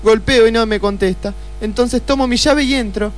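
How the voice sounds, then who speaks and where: A woman's voice: on the high side, normal speed, natural voice. A woman playing a melodramatic, haughty femme fatale in a comic radio detective play, with a theatrical, curt delivery. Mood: indignant.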